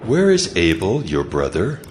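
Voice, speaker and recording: Stern divine ruler, very deep, slow and solemn, sweeping intonation, scripture audio drama. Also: male voice, huge pitch swings, a little hiss or hum, heavily acted. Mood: grave judgment. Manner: solemn, measured, authoritative. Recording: cavernous long reverb, music underneath.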